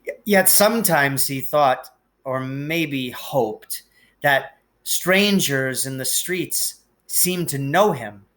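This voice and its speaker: A man reading a storybook aloud in an expressive, measured and deliberate narration. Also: medium pitch. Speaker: storybook reader. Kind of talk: expressive audiobook-style narration.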